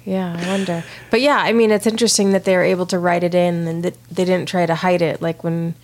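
A woman co-hosting a podcast in casual chat, her voice a little raspy from allergies. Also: lowish voice, little pitch movement, a little fast. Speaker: podcast co-host.

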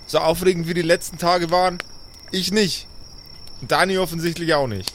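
A fairly high-voiced male adventurer, acted by a man, exasperated yet caring. He pleads and lectures insistently in an improvised roleplay audio play, with faint cricket-filled night ambience behind him. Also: steady tone, moderate pace, fairly bright tone, a little hiss or hum, close to an everyday voice.

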